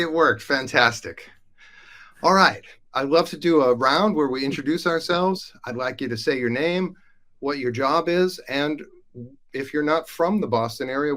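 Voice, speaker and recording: A man's voice: medium pitch, a bit bright. A man hosting a live podcast, chatty and upbeat, introducing colleagues. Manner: upbeat, chatty, with frequent fillers. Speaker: host. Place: Denmark.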